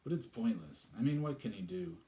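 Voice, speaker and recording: A man doing a smooth scheming politician. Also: on the low side, lively intonation, brisk, mellow, roomy sound, natural voice.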